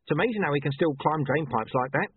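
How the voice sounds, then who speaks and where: A man doing a husky, eager, grubby watchman. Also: fairly high pitch, expressive, fast talker, dry recording, phone-quality sound, pitched above the performer's own voice. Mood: eager, chatty. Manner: chatty, insensitive. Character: grubby, eager, tactless male city watchman.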